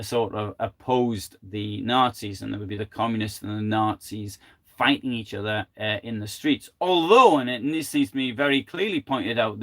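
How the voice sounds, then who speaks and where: A man's voice: mid-range voice, fairly bright tone. An older man giving a live, unscripted history talk from home, thinking aloud with many fillers and restarts. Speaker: history presenter.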